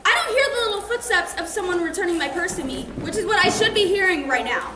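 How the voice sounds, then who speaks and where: A woman's voice: very high voice, animated, fast. A young woman playing an indignant, sarcastic girl who menaces suspected thieves in a theatrical stage monologue. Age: teenager or young adult. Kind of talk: high school stage monologue. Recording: noisy, echoey theater stage recording.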